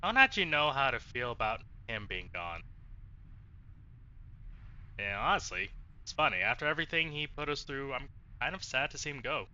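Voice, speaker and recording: A man doing expressive, emotional gameplay commentary as a streamer, casual and sweary. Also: mid-range voice, normal speed, pauses often, clean tone. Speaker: let's play streamer. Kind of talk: gameplay commentary with reading aloud of dialogue choices. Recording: dull lo-fi mic, faint noise.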